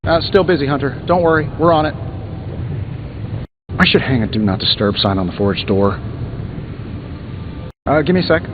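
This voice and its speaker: Man playing a cocky, higher-voiced wisecracking inventor hero. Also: normal speed, long pauses, highs cut off, background noise, lightly acted. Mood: wry, busy. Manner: glib, teasing, sarcastic banter. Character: wisecracking, cocky male genius inventor superhero. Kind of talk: video game dialogue acting.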